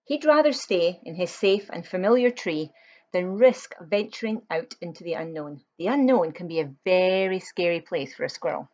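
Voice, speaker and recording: A woman's voice: on the low side, expressive, moderate pace, darkish tone, highs cut off. A woman reading a children's picture book aloud, expressively, with chatty explanatory asides to young listeners.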